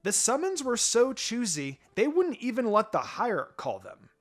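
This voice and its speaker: Male storyteller for scripted fan fiction; animated delivery, music bed underneath. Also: very high voice, moderate pace. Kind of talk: expressive scripted story narration.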